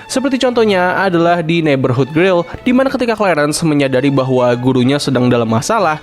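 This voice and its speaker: A young man narrating a scripted cartoon review in casual slang, opinionated and chatty. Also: a little high. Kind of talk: scripted video-essay narration. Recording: voice-over with faint background music.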